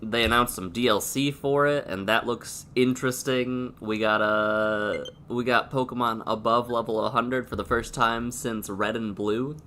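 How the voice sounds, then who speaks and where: Sniffly man, slow and bright. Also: mid-range voice, fairly even intonation, a little hiss or hum. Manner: laid-back, thinking aloud with pauses and hums. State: stuffy and sniffly; sore throat. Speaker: streamer. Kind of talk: casual gameplay commentary.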